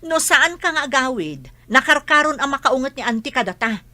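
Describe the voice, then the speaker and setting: A woman's voice: high voice, lively intonation, a little fast, bright, forceful tone. A woman playing a defensive, complaining elder sister in an Ilocano radio drama, speaking with a Filipino accent. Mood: annoyed.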